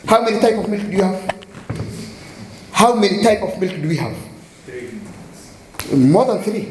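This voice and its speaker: Kenyan man explaining a poem, echoey stage. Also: sits high. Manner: halting, repeats words while searching for them. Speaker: poet performing on a festival stage. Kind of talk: spoken explanation of poetry with recitation. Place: festival stage. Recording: echoey live stage with faint background noise.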